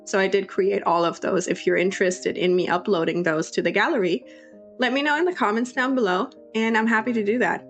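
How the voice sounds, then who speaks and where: A young woman with a sore throat and a raspy voice, chatting through a casual voiceover for her game-building video, apologetic and full of restarts. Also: mid-range voice, a little fast, lo-fi sound. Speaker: YouTube creator. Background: faint background music.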